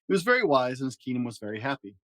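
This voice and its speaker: Guy reading a kids story out loud, expressive, pauses for drama, medium pitch, no room echo. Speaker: podcast host reading a children's storybook aloud.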